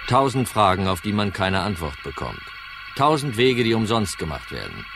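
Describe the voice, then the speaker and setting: A hardboiled insurance investigator, low voice, terse n dry, music behind, radio crime play. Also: male voice, big pitch swings, normal speed. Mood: brooding narration. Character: dogged, cool insurance detective, dryly probing. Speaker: lead actor and first-person narrator. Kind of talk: radio crime drama acting, noir narration.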